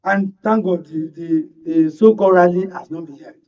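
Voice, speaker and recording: A man's voice: sits high, normal speed, mellow. A man arguing his points as a commentator in a panel discussion. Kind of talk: political discussion. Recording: distant mic, dull sound.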